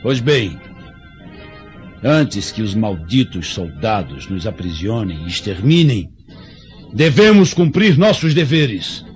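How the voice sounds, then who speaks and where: Líder rebelde vingativo, voz bem variada. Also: male voice, on the low side, big pitch swings, takes it slowly. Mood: bitter, accusing. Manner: grandiloquent, theatrical declamation. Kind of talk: radio drama acting. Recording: dull radio-drama recording, faint music behind.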